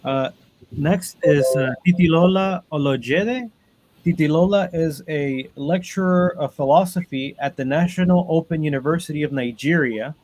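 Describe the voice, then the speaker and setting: A man's voice: fairly high pitch, normal speed, darkish tone, slight background noise, poor audio. A man hosting a webinar panel, reading out guest introductions over a video call with frequent ums and uhs. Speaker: panel moderator.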